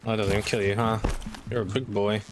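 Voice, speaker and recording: Low-voiced man streaming over game music. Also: level tone, quick pace, poor audio. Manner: offhand, profane muttering to himself. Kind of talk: casual gameplay commentary. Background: video game music.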